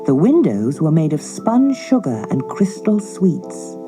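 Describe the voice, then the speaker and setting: A woman storyteller narrating a scripted fairy tale for children in a measured, dramatic way. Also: low-pitched, big pitch swings, music in the background.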